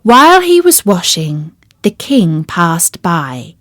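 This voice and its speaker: A woman reading a children's fairy tale as a storyteller, with deliberate, expressive phrasing. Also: lowish voice, no room sound, clean studio sound. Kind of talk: scripted children's storybook narration.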